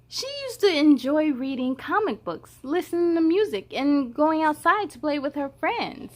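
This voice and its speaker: A woman hosting a children's read-aloud, expressive and playful, speaking straight to young listeners. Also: high-pitched, moderate pace.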